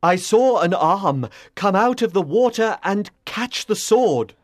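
Woman acting as loyal male knight, storybook tale, earnest, deferential, anxious, unhurried delivery, mid-range pitch. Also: expressive, a little mellow, no echo, clean studio sound, natural voice. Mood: earnest, evasive. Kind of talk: storybook character voice.

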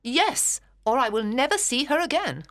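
A woman playing a fretful, excitable middle-aged mother in a literary audiobook, agitated and insistent. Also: sits high, moderate pace, dry recording, clean studio sound. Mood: indignant. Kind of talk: dramatised literary audiobook reading.

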